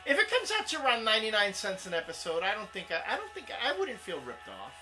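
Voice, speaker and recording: A man's voice: high-pitched, huge pitch swings, quick pace, pushed and bright, soft background music. A man co-hosting a casual comic book talk show, rambling and restarting as he defends his opinion. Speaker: co-host.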